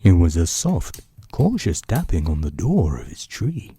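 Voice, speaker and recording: A man hosting a storytelling podcast, narrating from a script in bedtime-story style with dramatic pauses and savoured phrasing. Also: sits low, wildly swooping pitch, dark tone.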